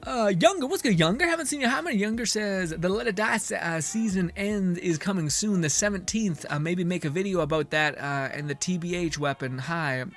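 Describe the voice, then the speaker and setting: Chill young guy streaming games, fairly high voice, soft music behind, laughs and swears a lot. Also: lively intonation, brisk, few pauses. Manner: laid-back, swears, laughs often. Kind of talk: casual gameplay chat, reading chat aloud.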